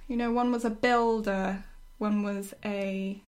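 A woman talking casually and reflectively as a writer discussing her work, often halting as she thinks aloud. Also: medium pitch, fairly even intonation, a little mellow. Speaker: writer discussing her own storytelling project.

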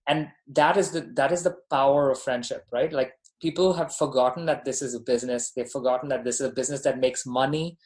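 A man's voice: medium pitch, steady tone, fairly quick, few pauses, darkish tone. Man, podcast guest, from Dubai, mid-range voice, conversational, earnest, emphatic repetition. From born in Bahrain, grew up in Dubai. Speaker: podcast guest, company founder. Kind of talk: casual conversational interview.

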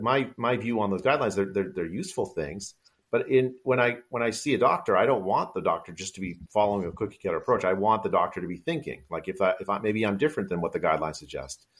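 A middle-aged man hosting a podcast, his voice a little low in pitch. He speaks haltingly, often restarting his sentences as he works his way to a question. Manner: halting, full of restarts and fillers. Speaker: podcast host, professor. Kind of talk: conversational interview.

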